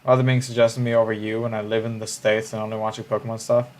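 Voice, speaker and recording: A man's voice: fairly low pitch, completely flat delivery, fast. A man, chatting casually on a livestream in a musing, hesitant way with frequent restarts. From Israel.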